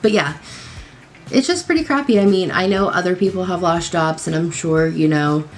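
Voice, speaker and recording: Low-voiced woman chatting through her makeup. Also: moderate pace, soft background music. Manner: rambling, lots of likes and ums. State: slightly nasal from a cold. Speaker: beauty vlogger. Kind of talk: casual chat while doing makeup. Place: home at night.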